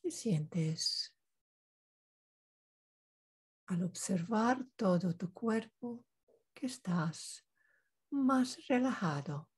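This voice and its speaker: A woman guides a meditation in a very husky, breathy voice. She speaks extremely slowly, in short, calm instructional phrases. Long pauses separate each step. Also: mid-range voice.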